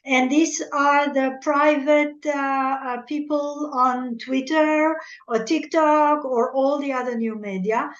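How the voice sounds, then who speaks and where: Middle-aged French-native woman, fairly high voice, dull lo-fi sound, earnest and halting. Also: fairly even intonation. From Algeria. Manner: earnest and measured, often repeating words as she searches for them. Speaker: guest analyst, former military spokesperson. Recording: echoey room, dull lo-fi audio.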